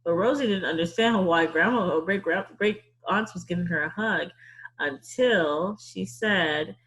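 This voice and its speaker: Woman w a lowish voice talking to kids at storytime, casual, encouraging, repeats stuff to drive it home. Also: unhurried, a bit bright, husky, low-quality recording. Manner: encouraging, repeats words for emphasis. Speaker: children's storytime host. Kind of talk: casual explanatory talk to children.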